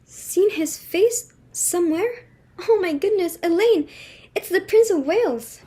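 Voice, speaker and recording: A woman's voice: very high voice, expressive, a little slow, warm tone. A woman playing a flustered, imperious society hostess and mother in a dramatic reading of a stage comedy, emphatic and exclamatory. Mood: astonished.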